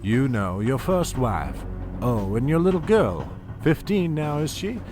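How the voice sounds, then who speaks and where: A man's voice: medium pitch, sing-song, a little slow, music in the background. A man playing a smug, scheming oil baron in an audio drama, silky, condescending and mock-courteous. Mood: silky blackmail.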